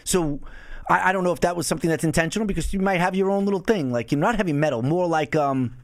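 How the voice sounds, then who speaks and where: A man's voice: fairly high pitch, fast talker, no room sound, studio quality. A man hosting a podcast, giving casual, frank feedback in a rambling way. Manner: rambling, frank, restarts often. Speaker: podcast host.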